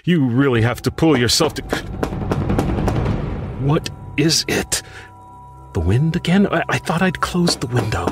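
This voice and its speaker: An actor playing a grief-stricken, bookish widower who mutters anxiously to himself in a theatrical old-time radio horror monologue. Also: male voice, mid-range voice, expressive, a little slow, pauses often, close to an everyday voice. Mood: uneasy. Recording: music under the voice. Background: prominent music.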